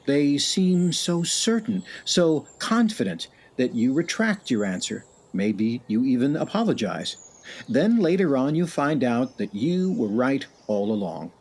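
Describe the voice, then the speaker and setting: An actor narrating a scripted radio play in a wry, deliberate, theatrical way. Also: male voice, mid-range voice, big pitch swings, darkish tone, full character voice. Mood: wry, knowing. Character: wry, measured male storyteller narrator. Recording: clear music bed behind narration.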